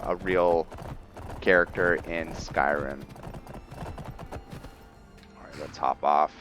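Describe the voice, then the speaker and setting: A man giving casual commentary on his roleplay game, thinking aloud in a halting way. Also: low-pitched, a bit bright. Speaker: gamer narrating a roleplay playthrough.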